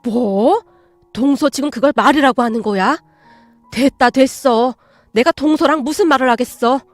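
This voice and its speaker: A woman playing a firm, calm mother. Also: high voice, dry recording, soft background music, natural voice. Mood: reproachful. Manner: measured, reproachful.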